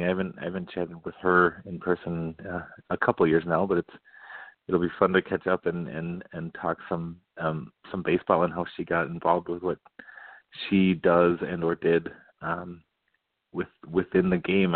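Young man, show host, deep voice, halting and eager, thin phone-like audio. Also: no room sound. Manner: eager, halting, many restarts and nervous laughs. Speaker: podcast host. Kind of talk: casual interview. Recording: tinny phone-quality line, dry.

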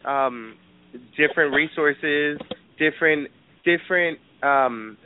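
A man's voice: fairly high pitch, takes it slowly, a little hiss or hum. A man from New York, a guest in a casual discussion, talking animatedly with frequent laughs and fillers. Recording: dry, tinny phone-like line.

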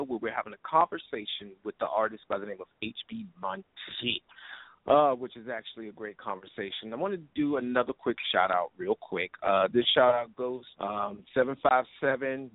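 A man's voice: mid-range voice, no room sound, narrow, tinny audio. Guy hosting casual internet radio, chatty n informal, sounds like hes on a phone, pretty dry sound. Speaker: radio show host. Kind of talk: casual internet radio chat with shout-outs.

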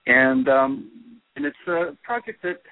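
A man who is a poet, giving thoughtful, halting answers in an interview and often restarting his sentences. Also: medium pitch, expressive, no echo. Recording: dry, narrow phone-line audio.

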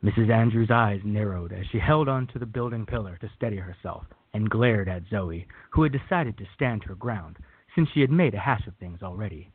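A man's voice: lowish voice, animated, fairly quick, hardly any pauses, husky, no room sound. A man narrating a scripted radio drama as a storyteller. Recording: tinny, phone-like narrow band.

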